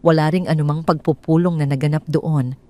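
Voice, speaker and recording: Low-voiced Filipino woman narrating drama. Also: steady tone, a little fast, mellow. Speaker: storyteller, narrator. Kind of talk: scripted dramatic audio story narration.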